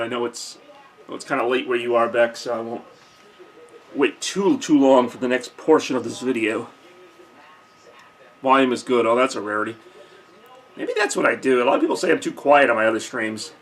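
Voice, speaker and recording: A young man casually hosting a live holiday stream from a decorated room at home, joking and thinking out loud with lots of fillers, recorded on a camcorder mic. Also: medium pitch. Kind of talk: casual live-stream chat reading viewer comments.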